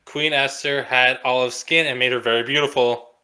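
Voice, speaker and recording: A young man co-hosting a casual podcast, explaining things with dry, deadpan jokes. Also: mid-range voice, moderate pace. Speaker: podcast co-host. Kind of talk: casual chat.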